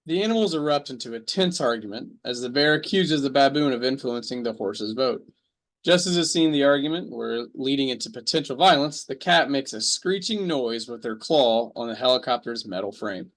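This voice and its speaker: A man narrating a radio drama table read at an even, measured storytelling pace. His voice sits in an ordinary middle range. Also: medium pitch.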